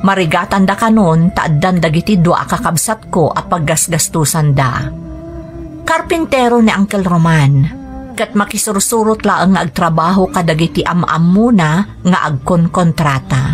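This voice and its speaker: A woman's voice: lowish voice, animated, moderate pace, natural voice. A woman with an Ilocano accent playing an apologetic, submissive daughter in a radio drama, with music underneath. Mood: sad, reflective.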